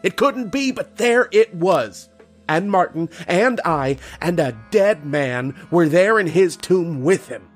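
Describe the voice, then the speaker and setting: A man playing a grave, haunted archaeologist in old-time radio horror, with dramatic, deliberate delivery. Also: high-pitched, expressive, soft background music, full character voice. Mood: awed, horrified.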